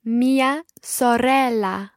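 A woman learning a language, answering prompts and repeating phrases very slowly and deliberately. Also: a little high, no echo, clean studio sound.

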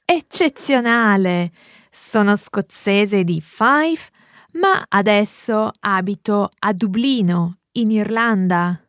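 A woman tutoring a language lesson, modelling phrases slowly and carefully and praising the learner. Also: mid-range voice, expressive, dry recording, clean studio sound. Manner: slow, careful, encouraging pronunciation. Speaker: native-speaker language tutor.

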